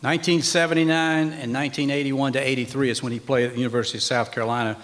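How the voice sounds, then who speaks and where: A man's voice: mid-range voice, level tone, a bit bright. Man introducing an inductee in a reverberant hall. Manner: reads the honoree's record in measured, emphatic phrases. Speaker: master of ceremonies. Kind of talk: formal ceremony introduction. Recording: long reverb of a large hall.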